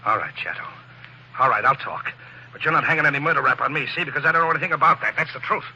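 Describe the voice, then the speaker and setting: A man's voice: a little high, racing, creaky, bright, forceful tone, husky, muffled recording, full character voice. A slangy con-man fake count. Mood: sly. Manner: tough gangster slang, nervous then pleading. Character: shifty male con man posing as a count. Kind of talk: 1940s radio acting.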